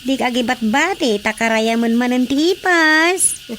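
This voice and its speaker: High-voiced, questioning Ilocano villager. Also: female voice, expressive, unhurried, fairly bright tone, slight background noise. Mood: curious, chatty. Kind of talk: Filipino radio drama comedy acting.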